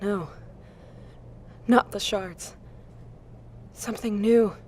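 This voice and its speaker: A woman's voice: medium pitch, fairly even intonation, extremely slow, a little mellow, a little hiss or hum. An actress playing a weary, shaken woman survivor in an apocalyptic audio drama, with a blunt, wry way of talking. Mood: shocked.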